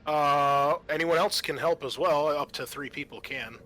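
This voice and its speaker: Bright-voiced man running a tabletop game. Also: fairly high pitch, normal speed. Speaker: game master. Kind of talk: narrating a tabletop roleplaying game with friends. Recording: faint music behind the voice.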